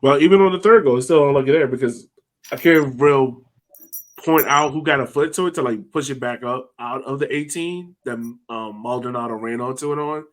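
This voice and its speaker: A man's voice: mid-range voice, a little fast. Casual man hosting a soccer podcast. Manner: loose, lots of likes and restarts. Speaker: podcast host.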